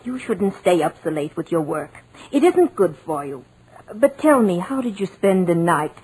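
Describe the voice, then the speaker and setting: A woman playing a superstitious, fussing housekeeper in a 1940s radio drama, earnest and scolding, with a husky voice. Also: on the low side, sing-song, moderate pace, a little mellow, exaggerated voice, rough recording. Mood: concerned, fussing. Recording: vintage 1940s radio recording.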